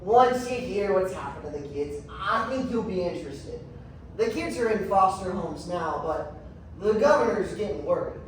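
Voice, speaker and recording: A man's voice: high-pitched, animated, normal speed, a little hiss or hum. A man playing an earnest, concerned government aide from a governor's office in a stage reading. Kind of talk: theatrical readers theatre acting. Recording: very echoey hall, far from mic.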